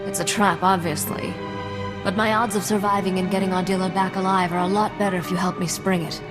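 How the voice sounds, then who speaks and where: A woman's voice: medium pitch, fairly even intonation, a little fast, in a large hall, over music, close to an everyday voice. An actress playing a shrewd, self-assured woman mechanic among space smugglers, with a dry, sardonic manner, in a video game. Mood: cool, focused. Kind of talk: video game cutscene acting.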